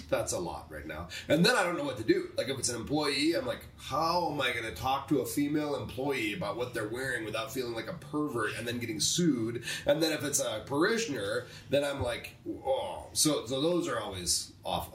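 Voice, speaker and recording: A man's voice: mid-range voice, fairly bright tone, a little hiss or hum. A man who is a pastor and preaching coach, chatting casually in a joking, self-deprecating way. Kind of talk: casual conversation. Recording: roomy, distant mic.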